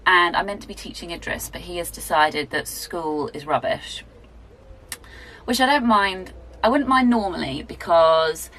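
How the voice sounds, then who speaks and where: A woman's voice: fairly low pitch, fairly bright tone, poor audio. A woman, chatting casually and self-deprecatingly in a book vlog recorded in a garden shed. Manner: rambling, self-deprecating, with fillers and laughs. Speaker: book vlogger. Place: garden shed, rural area.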